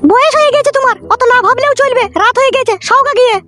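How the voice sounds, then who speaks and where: A woman's voice: extremely high pitch, steady tone, fast, sharp tone, faint music behind. A woman playing a protective, nagging village mother, curt and bossy, in a comedy cartoon. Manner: indulgent to her son, curt and bossy.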